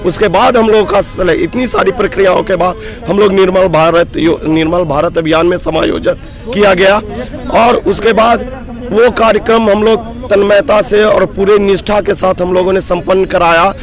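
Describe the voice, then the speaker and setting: Hoarse man, gravelly, fairly high voice, aggrieved and emphatic, outdoor street interview. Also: fairly even intonation, moderate pace, faint music behind. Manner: aggrieved, earnest, builds to emphatic outbursts. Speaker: protesting block coordinator. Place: roadside protest sit-in outdoors.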